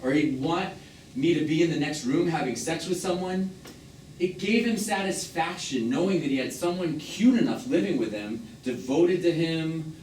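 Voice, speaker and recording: A man's voice: on the high side, darkish tone, close to an everyday voice. Man acting restless younger man, echoey distant stage. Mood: frustrated, unsettled. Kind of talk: live stage play monologue. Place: small theatre stage, recorded from the audience. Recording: echoey theatre from far off, noisy.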